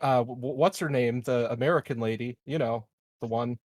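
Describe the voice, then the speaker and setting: A man's voice: medium pitch, brisk, a little mellow, no echo, clean studio sound, lightly acted. A man playing a flippant, self-excusing president who stammers and rambles in a casual tabletop game. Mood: flippant bluffing.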